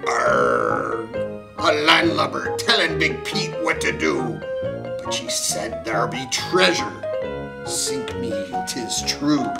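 A man voicing a big, blustering in a storybook, with gruff, thundering talk. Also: mid-range voice, huge pitch swings, slow pace, full character voice. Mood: grudging. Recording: music playing behind the voice.

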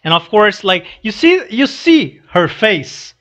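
A young adult man giving casual read-along commentary, halting and restarting his phrases as he goes. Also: high voice, very animated, fairly bright tone, lo-fi sound. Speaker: reaction video host. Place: small room indoors. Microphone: headset boom mic.

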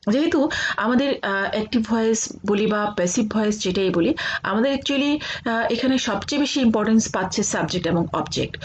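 A woman with a Bangladeshi accent lectures in the explanatory way of a college teacher. Her voice sits at a middling pitch for a woman, and she often pauses on hesitation sounds. Some noise runs behind her in the recording. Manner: halting, with frequent filled pauses. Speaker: college English grammar teacher. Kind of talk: explanatory online lecture with examples.

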